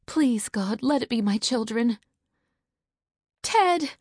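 A woman's voice: fairly high pitch, normal speed, darkish tone, slightly rough, no room sound, studio quality, voice pushed up. A woman voicing a panicked mother who pleads as she searches for her missing daughter. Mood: frantic.

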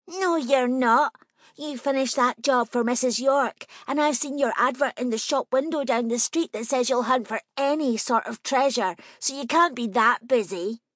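A woman's voice: high-pitched, normal speed, fairly bright tone, no room sound, studio quality, pitched above the performer's own voice. Woman putting on a stubborn little girl. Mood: insistent. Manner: matter-of-fact, insistent, bossy. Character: nervous but stubborn girl of nine.

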